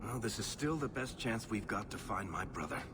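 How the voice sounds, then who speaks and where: A man playing a wry, streetwise dwarf adventurer in a video game, with a sardonic, expressive delivery. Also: lowish voice, moderate pace, background noise, close to an everyday voice. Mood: serious, concerned. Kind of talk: video game dramatic acting.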